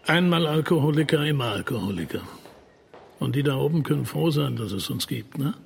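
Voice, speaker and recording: An actor in a radio drama playing a talkative, cynical alcoholic clinic patient who chats in a chummy, probing, colloquial way. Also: male voice, fairly high pitch, lively intonation, fairly quick, gravelly, breathy edge, heavily acted.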